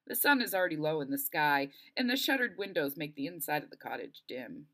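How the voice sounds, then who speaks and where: A woman reading a first-person children's novel aloud in an expressive storytelling style. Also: low-pitched, a little fast. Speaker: story reader.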